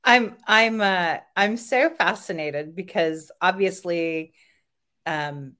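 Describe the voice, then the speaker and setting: A woman with a lowish voice hosting a podcast interview. She asks curious, thoughtful questions in a relaxed way, often stopping on "um" as she works out her next thought. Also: slow pace. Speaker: podcast host.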